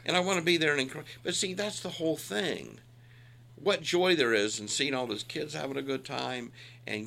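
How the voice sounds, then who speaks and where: A man's voice: medium pitch, creaky, sharp tone. A man in his sixties chatting casually with a co-host, folksy and self-deprecating, leaning into emphatic points. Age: 60s. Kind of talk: casual chat.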